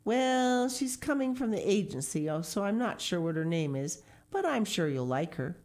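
A woman voicing a flustered, cheerful mother pig in a read-aloud picture book, talking brightly and in a hurry to please. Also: medium pitch, sing-song, lightly acted. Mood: bright, fussing. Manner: bright, hurried, eager to please.

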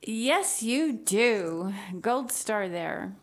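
A woman co-hosting a casual talk show who answers bad jokes with dry teasing. Her pitch swings widely as she talks, which makes her sound animated and expressive. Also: drawn-out words. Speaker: co-host. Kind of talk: casual chat.

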